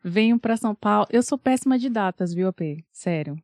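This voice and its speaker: A woman's voice: medium pitch, brisk, no echo. Bahian woman singer, 30s, cheerful podcast guest, dry sound. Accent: Bahian Portuguese. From Bahia, Brazil. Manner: laughs often, animated storytelling. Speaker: guest singer and vocal coach. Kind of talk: casual interview chat.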